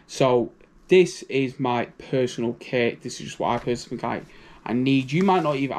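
British guy rambling through his kit, casual, mid pitch, lots of "you know". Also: a little mellow. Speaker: prepping channel host. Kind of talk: casual gear walkthrough.

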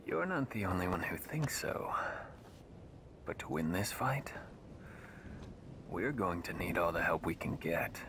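An actor playing a brooding, enigmatic young man in a video game cutscene, speaking in a measured, solemn, breathy way. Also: sits low, big pitch swings, full character voice. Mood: grave resolve. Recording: noisy game cutscene audio.

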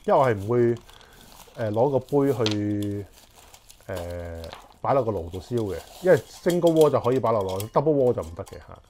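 Hk cantonese guy in his 40s, lowish voice, rambling unboxing chat, lapel mic at home, bit of hiss. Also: animated, moderate pace, dark tone. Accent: Hong Kong Cantonese. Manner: chatty, thinking aloud with many fillers. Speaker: camping gear reviewer. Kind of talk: casual unboxing talk. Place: small living room at home. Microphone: clip-on lapel mic.

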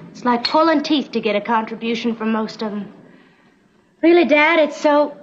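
A woman's voice: on the high side, normal speed, natural voice. A young actress playing an earnest, spirited frontier woman in a TV Western, on a muffled vintage soundtrack. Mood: frustrated, earnest. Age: young adult. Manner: earnest, emphatic.

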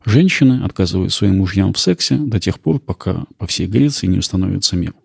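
A man hosting a podcast with measured, explanatory scripted documentary narration. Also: low-pitched, lively intonation, gravelly, a little mellow, slightly rough, no echo, clean studio sound. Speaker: podcast host.

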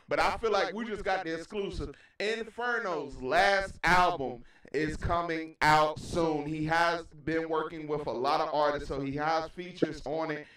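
A middle-aged American man hosts a casual interview show with emphatic, repetitive hype. His voice sits a little high and comes through very echoey, well away from the microphone. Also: a bit bright. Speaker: radio show host and DJ. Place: small indoor room, video call. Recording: very echoey, distant from mic.

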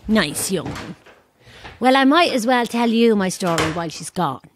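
A woman's voice: mid-range voice, animated, normal speed, slight background noise, natural voice. An actress with a Dublin accent playing a down-to-earth, gossipy woman in an audio drama, frank and wry as she chats. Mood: chatty, wry. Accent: Irish, Dublin. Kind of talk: audio drama, casual banter and storytelling.

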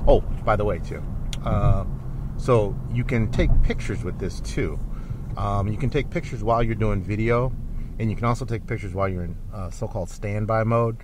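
A man's voice: on the low side, normal speed. A man giving a casual, rambling spoken review from inside his car while he drives, with many ums and uhs. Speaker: vlogger reviewing camera glasses. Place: inside a car, driving in rain. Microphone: built into camera glasses he wears. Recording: loud car noise from glasses-mounted camera. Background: car and road noise.

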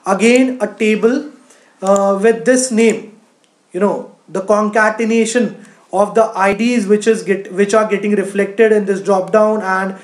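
A woman's voice: mid-range voice, fairly even intonation, unhurried. A woman with an Indian accent narrating a screencast tutorial as a coding instructor, explaining step by step with frequent verbal fillers. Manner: explanatory, frequent "you know". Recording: roomy, echoing voice-over.

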